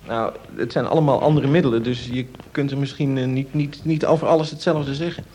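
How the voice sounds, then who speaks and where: A man in an informal radio discussion, answering questions as an expert. He explains step by step in a hesitant way, often searching for the right word, at a middling pitch. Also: steady tone, brisk, dark tone, slight background noise.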